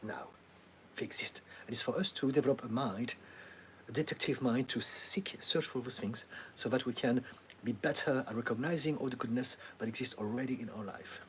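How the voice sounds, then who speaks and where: In a soft, faintly hissy recording, a middle-aged man with a French accent gives patient advice at mid pitch. He speaks calmly and reassuringly, with the odd light laugh and frequent ums as he thinks. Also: a little fast, low-quality recording. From France. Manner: calm, advising, laughs often, many ums. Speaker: therapist answering live chat questions. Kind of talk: livestream Q&A.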